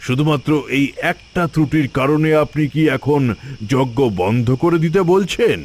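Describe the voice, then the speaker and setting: A man voicing a scheming, vengeful tantric sorcerer in a cartoon fairy tale, boastful and theatrical in his deliberate delivery. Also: on the high side, close to an everyday voice. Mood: solemn, persuasive.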